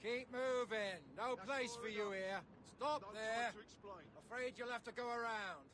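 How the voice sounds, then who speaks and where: A man's voice: extremely high pitch, fairly even intonation, fairly quick, a crowd in the background. An actor playing a curt, commanding Victorian policeman with an English accent in a video game, heard distantly over street noise. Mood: stern, officious. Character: officious Victorian London policeman guarding an area. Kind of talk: video game guard barks.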